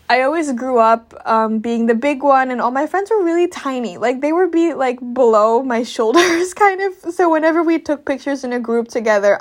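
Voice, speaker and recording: A young woman from Indonesia talking casually and candidly to listeners as a podcast host, in an informal, confiding way with slang. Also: a little high. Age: young adult. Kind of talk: casual personal talk to listeners.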